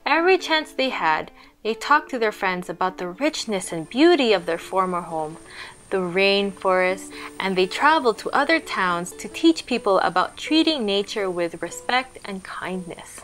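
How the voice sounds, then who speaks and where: A woman reading a storybook aloud to children in an expressive, explanatory manner. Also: mid-range voice, normal speed, faint music behind, poor audio.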